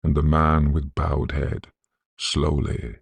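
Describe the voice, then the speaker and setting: Man, gravelly and deep, scholarly storybook narrator, measured, solemn, atmospheric. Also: flat delivery, dry recording. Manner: measured, deliberate, solemn delivery.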